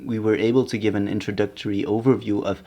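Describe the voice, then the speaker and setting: A man's voice: fairly low pitch, fairly even intonation, quick pace, warm tone, no echo. A young adult man giving prepared but hesitant interview answers as a podcast maker.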